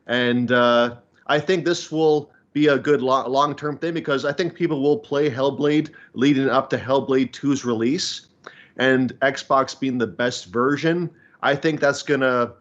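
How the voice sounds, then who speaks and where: A man's voice: mid-range voice, steady tone, unhurried, dull audio. A man on a gaming podcast panel, speaking enthusiastically in a conversational way. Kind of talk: casual chat.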